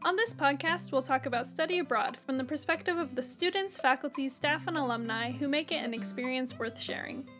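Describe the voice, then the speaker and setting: A woman's voice: high-pitched, a little fast, few pauses, music in the background. A young woman with an American accent hosts a podcast, introducing topics and putting questions to a guest. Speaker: podcast co-host. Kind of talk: podcast hosting.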